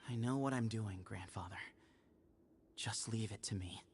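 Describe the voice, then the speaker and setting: A man's voice: on the low side, moderate pace, a little hiss or hum, natural voice. A man voicing a cool, self-assured young duelist in a video game, speaking casually. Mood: cool, confident. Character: confident, cool young male challenger, respectful grandson. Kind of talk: video game cutscene acting.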